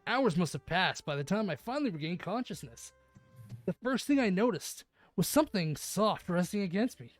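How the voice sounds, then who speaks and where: Woman reading aloud with lively intonation. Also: lowish voice, moderate pace, no echo, soft background music. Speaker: streamer reading a visual novel aloud. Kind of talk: expressive read-aloud narration with casual chat.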